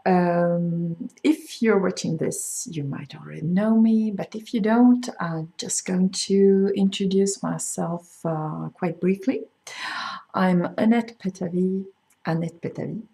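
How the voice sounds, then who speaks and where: A middle-aged woman with a Swedish accent, a crochet designer talking casually to camera and explaining things with frequent pauses and fillers. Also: lowish voice, gravelly, warm tone. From Sweden. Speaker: crochet designer hosting a video podcast. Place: home in France.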